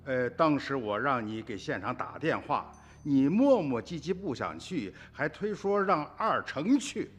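A man's voice: medium pitch, big pitch swings, unhurried, few pauses, breathy edge. An actor playing a principled, earnest old farmer and grandfather in a radio drama, speaking in a plain, folksy way. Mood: probing, suspicious.

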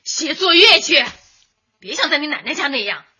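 A woman's voice: high-pitched, very animated, moderate pace, exaggerated voice. An actress in a radio drama playing a strict, exasperated mother with a nagging manner. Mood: scolding. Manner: sharp, nagging. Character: exasperated, strict young mother scolding her fussy son. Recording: dull, noisy lo-fi.

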